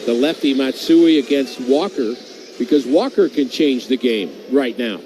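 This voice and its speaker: A man's voice: medium pitch, lively intonation, unhurried, mellow, a little hiss or hum. A man working as a radio color commentator, breaking down baseball strategy in a thoughtful, speculative way.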